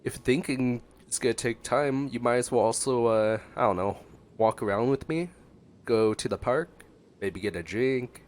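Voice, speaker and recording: A man's voice: medium pitch, moderate pace. A man with a congested voice from a cold, giving casual, joking reaction commentary as he streams a game. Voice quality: stuffy, congested. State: getting over a cold.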